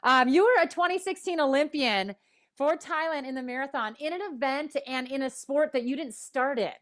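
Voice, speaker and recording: Upbeat host, woman with a Minnesota accent, slightly high voice, video call. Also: a bit bright. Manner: upbeat, enthusiastic, quick restarts. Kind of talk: panel interview hosting.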